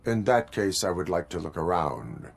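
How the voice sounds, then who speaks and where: A man voicing a stoic cyborg police officer in a video game, speaking in stiff, formal, deliberate phrases. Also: deep voice, big pitch swings, gravelly, full character voice. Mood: stern, flat. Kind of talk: video game cutscene acting.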